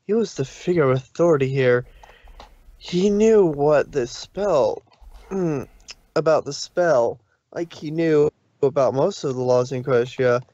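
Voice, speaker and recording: A man narrating a fanfic read-aloud on a stream, halting, with slips and self-corrections. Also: on the high side, mellow, no echo, lo-fi sound. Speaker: narrator reader.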